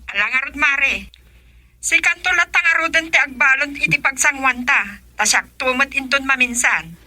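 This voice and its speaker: An actress playing an assertive wife who works abroad in a radio drama, speaking with an Ilocano accent. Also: female voice, high voice, moderate pace, sharp tone. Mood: chummy, loud. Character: assertive wife working abroad, eager to spend.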